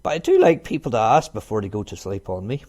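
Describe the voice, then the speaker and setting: A man's voice: fairly low pitch, big pitch swings, quick pace, darkish tone. A man voicing a pompous stone lion in a storybook, growly, mock-stern and formal.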